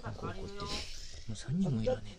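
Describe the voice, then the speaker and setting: A man's voice: fairly high pitch, expressive, rapid-fire, crowd noise. A young man playing playful, clingy quadruplet brothers in an improvised ASMR voice drama, chattering casually and affectionately in a boyish manner. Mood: bemused. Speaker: voice actor. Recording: overlapping voices behind.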